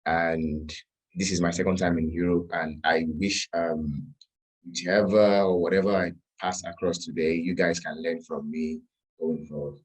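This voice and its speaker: A young man from Nigeria speaks as a panel guest over a video call. His voice is very low and husky. He talks with many hesitant "um"s. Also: little pitch movement, a little slow, low-quality recording.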